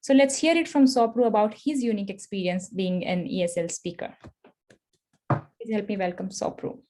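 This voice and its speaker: A woman's voice: mid-range voice, moderate pace, darkish tone. A woman moderating a panel, introducing speakers with a lot of hesitant fillers.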